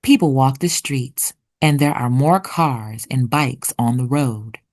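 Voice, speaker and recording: A woman reading a children's picture book aloud expressively, with deliberate storytelling pauses. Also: very deep voice, a little mellow. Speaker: children's read-aloud narrator.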